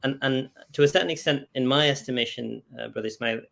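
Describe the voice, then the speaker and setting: A man speaking as a scholar in an earnest intellectual debate, insistent, pausing mid-thought and often checking for agreement. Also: medium pitch, vocal fry, a bit bright. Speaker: scholar and author in discussion. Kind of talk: earnest intellectual debate over video call. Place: video call.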